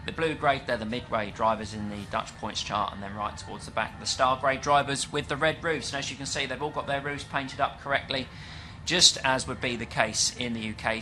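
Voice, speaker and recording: A British man giving live commentary on a stock car race, chatty and explanatory, pausing often with fillers. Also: mid-range voice, background noise. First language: English. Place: at a dirt track event.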